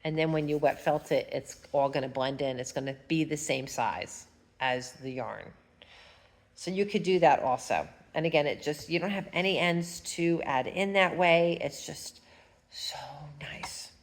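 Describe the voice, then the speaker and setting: Brief: chatty female crafter, low voice, stuffed-up nose, rambling and humming. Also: measured pace. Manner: chatty, rambling, hums while working. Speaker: fiber arts vlogger. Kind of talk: casual knitting tutorial chat. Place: at home.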